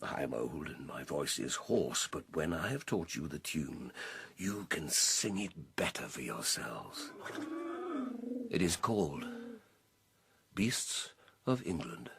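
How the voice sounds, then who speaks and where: Hoarse old boar, rousing and deliberate. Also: male voice, rumbling low, big pitch swings, fairly bright tone, exaggerated voice. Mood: wistful, solemn. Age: older. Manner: solemn, rhetorical, deliberate. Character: old boar, rousing visionary leader of farm animals. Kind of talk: radio drama oratory.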